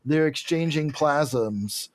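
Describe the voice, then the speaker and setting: A man's voice: on the high side, slow pace, no echo. A man co-hosting a podcast, casually recapping comics in an irreverent, profane, jokey way. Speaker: podcast co-host.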